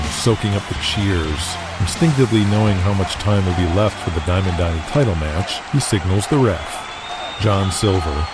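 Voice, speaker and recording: A man narrating an audio drama in a dramatic, scripted, present-tense storytelling style. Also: sits low.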